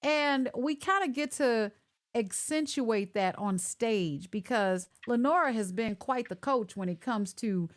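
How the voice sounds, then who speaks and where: A woman hosting a casual online interview over a video call, chatty and animated as she works through connection glitches. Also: on the high side, a little slow. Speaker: host.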